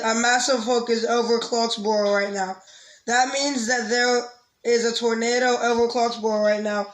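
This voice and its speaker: A woman playing an anxious radio weather forecaster in an amateur emergency drama, speaking haltingly. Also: a little high, reverberant, close to an everyday voice, poor audio.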